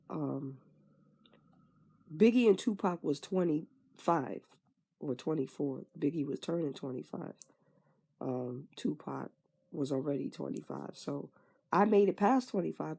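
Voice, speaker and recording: A woman's voice: low voice, moderate pace, creaky, warm tone, slightly rough. A woman in her twenties frankly venting in a candid personal monologue, swearing casually and trailing off with fillers. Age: 20s. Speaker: vlogger.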